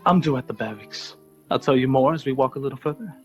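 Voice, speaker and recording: A man plays an aging, candid lieutenant in a radio drama. His voice is medium in pitch, kindly and hesitant, with music running behind. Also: fast talker, lightly acted. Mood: grave, sympathetic. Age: older.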